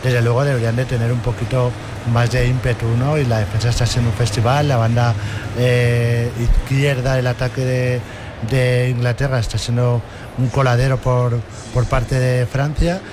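A man's voice: mid-range voice, little pitch movement, moderate pace. A man analysing a match off the cuff, hesitant and repeating words. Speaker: football pundit. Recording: clear background noise.

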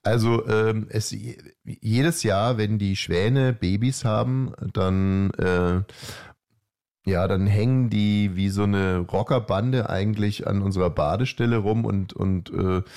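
A middle-aged man co-hosting a podcast, telling anecdotes in casual, halting banter with a wry, mock-grandiose touch. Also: on the low side, darkish tone. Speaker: podcast co-host.

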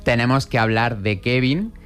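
Hombre que comenta películas en la radio. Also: male voice, mid-range voice, moderate pace, fairly bright tone, smooth, faint music behind. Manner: explanatory, with many fillers and tag questions. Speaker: radio film commentator. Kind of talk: casual radio chat about films.

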